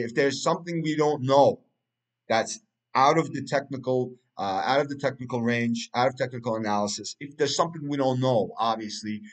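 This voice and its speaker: A man, a Turkish speaker, talking through a price chart in casual analysis, rambling with many fillers and restarts. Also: medium pitch. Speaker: crypto market analyst.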